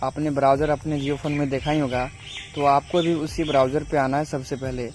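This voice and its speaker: A man's voice: on the high side, flat delivery, fairly quick. A man hosts a step-by-step phone tutorial in a repetitive, instructional way with frequent check-in tags. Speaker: tech tutorial host.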